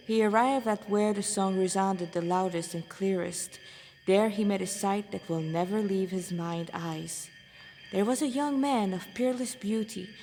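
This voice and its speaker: A woman's voice: medium pitch, darkish tone, big-room sound, slight background noise. A woman reading a story aloud as narrator, an amateur who stumbles over phrases and repeats them. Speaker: amateur fan fiction author reading aloud.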